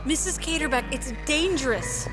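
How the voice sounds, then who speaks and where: A woman's voice: high-pitched, expressive, moderate pace, loud music behind the voice. A woman playing an urgent, worried figure in an audio drama. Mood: alarmed. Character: urgent, alarmed woman fearing danger.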